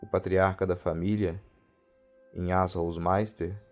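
A man with a Brazilian accent narrating a scripted horror story in a measured, literary reading manner. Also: sits low, little pitch movement. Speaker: podcast narrator of a true ghost story. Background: background music.

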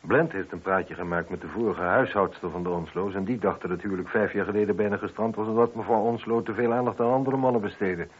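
Low husky brisk police inspector. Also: male voice. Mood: sober, brisk. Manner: brisk, sober, probing. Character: brisk, probing male police inspector in charge. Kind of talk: radio drama acting. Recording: dull, muffled old radio drama recording.

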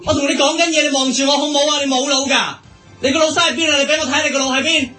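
A man's voice: extremely high pitch, fairly even intonation, very fast, sharp tone, faint music behind. A man playing a hot-tempered newsroom boss with a Hong Kong Cantonese accent, berating sarcastically in a comic radio drama. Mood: furious scolding. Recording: echoey, distant from the microphone.

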